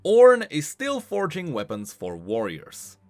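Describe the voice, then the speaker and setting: A man hosting a video game lore explainer, speaking in an animated, emphatic way. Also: a little high, slow. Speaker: host of a game lore channel. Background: music.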